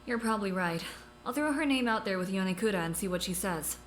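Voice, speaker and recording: Imagine a sharp-tongued woman doctor in an audio drama, as an actress voices her, with a mid-pitched voice. She sounds blunt and scornful, and her sarcasm is dry and flat. A faint hum runs under her lines. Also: quick pace, close to an everyday voice.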